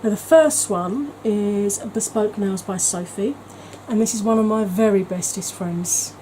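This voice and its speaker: A woman's voice: medium pitch, measured pace, warm tone, a little hiss or hum, rough recording. A woman talking in a chatty, gushing way as a beauty vlogger, with frequent ums. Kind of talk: casual vlog chat.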